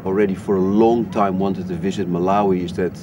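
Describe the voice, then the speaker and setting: A man's voice: low-pitched, steady tone, a little fast, background noise. A man from Africa, an expedition leader, talking in a documentary about his travels. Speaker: expedition leader and strain hunter. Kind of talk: documentary narration and on-location talk. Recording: music bed under the voice.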